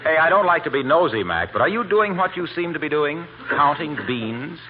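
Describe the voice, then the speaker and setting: A tinny old radio recording with slight hiss carries a chummy salesman. He is cheerfully nosy and animated, in the manner of 1940s comedy. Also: a little high, normal speed. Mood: breezy. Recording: thin narrow-band vintage radio, faint hiss.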